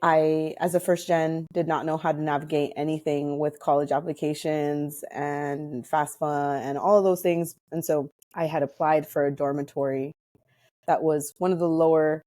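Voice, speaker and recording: Low, mellow American woman telling stories casually. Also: fairly even intonation, moderate pace, no room sound, clean studio sound. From Hawaii. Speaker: interview guest. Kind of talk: casual personal storytelling in conversation.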